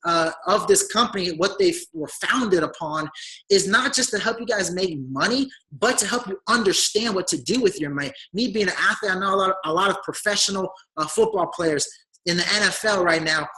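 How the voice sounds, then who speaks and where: A man with a raspy, hoarse voice from talking all day, giving an eager online sales pitch and often calling his listeners guys. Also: a little fast, pushed and bright, poor audio. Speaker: business opportunity presenter.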